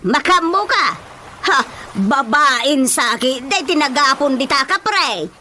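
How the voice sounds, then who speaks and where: A woman's voice: high-pitched, expressive, measured pace, sharp tone, slight background noise, heavily acted. A woman acting a quarrelsome, scolding neighbour in an Ilocano radio comedy, with an Ilocano accent.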